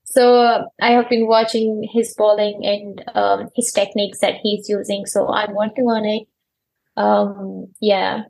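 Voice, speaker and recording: A woman's voice: medium pitch, flat delivery, a little slow, gravelly, a little mellow, poor audio. A 21-year-old woman with an Afghan accent, speaking English as a learned language and searching for words as she answers interview questions. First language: not English. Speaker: interview guest, cricketer.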